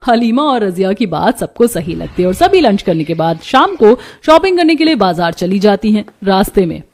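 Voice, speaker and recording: A woman's voice: medium pitch, big pitch swings, normal speed, darkish tone. A woman narrating a scripted cartoon story.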